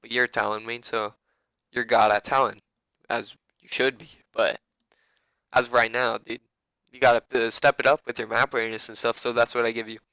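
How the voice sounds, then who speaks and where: Young man, raspy, crisp, rambling, swearing, casual coaching. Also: mid-range voice, flat delivery, fairly quick, sharp tone, dry recording, rough recording. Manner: loose, profane, rambling. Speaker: game coach.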